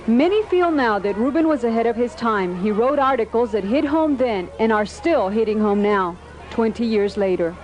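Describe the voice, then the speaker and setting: A woman narrating a documentary script in a steady, measured reading. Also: a little high.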